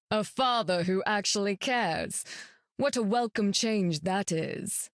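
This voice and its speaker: A woman's voice: medium pitch, takes it slowly, no echo, clean studio sound. A woman playing a stern, proud warrior goddess in a video game, speaking in a formal, archaic, severe way. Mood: stern, resolute. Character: stern, proud warrior goddess, fierce protector of her sister. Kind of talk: video game character voice acting.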